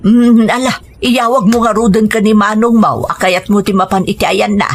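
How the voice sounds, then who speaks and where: A woman's voice: mid-range voice, steady tone, normal speed, slight background noise, natural voice. A woman acting a persuasive, coaxing mother of a grown son in an Ilocano radio drama. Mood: reassuring.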